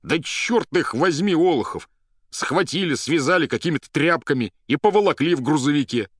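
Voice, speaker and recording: Man acting an agitated, loud, indignant young poet. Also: a little high, animated, moderate pace, no echo, clean studio sound, voice pushed up. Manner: shouting, colloquial, vehement. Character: agitated, indignant, half-crazed young male poet in underwear.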